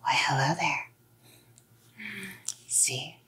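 Girl acting as a creepy clingy psycho girlfriend, asmr roleplay, slow breathy whisper, cooing threats. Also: extremely low pitch, fairly even intonation, pushed and bright, full character voice. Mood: sweetly excited. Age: young adult. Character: obsessive, menacingly sweet psycho girlfriend captor. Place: small dim room indoors. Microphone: close ASMR mic.

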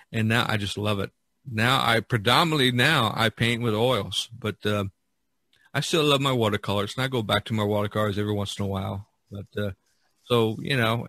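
A middle-aged or older man who paints, talking casually and earnestly in a rambling, halting way about his work in an unscripted podcast conversation. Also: fairly low pitch, sharp tone, no echo. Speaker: studio painter being interviewed in a role-play.

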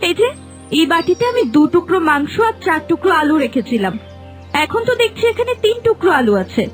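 A woman playing a cruel, bossy young daughter-in-law in a cartoon folk tale, scolding with sharp sarcasm. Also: extremely high pitch, big pitch swings, fairly quick, faint music behind. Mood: sarcastic, accusing.

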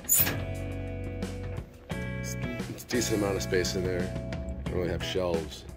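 A man in his thirties casually vlogging a tour of his tiny ship cabin, relaxed and rambling with lots of ums, filmed on a phone held at arm's length. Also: low-pitched, a little slow. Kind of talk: casual vlog room tour. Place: small crew cabin on a cruise ship. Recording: music playing under the voice. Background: background music.

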